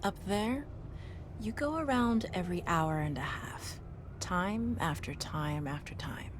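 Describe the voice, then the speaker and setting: A woman delivering a reflective, unhurried monologue with repetition for emphasis. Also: lowish voice, lively intonation, noisy background.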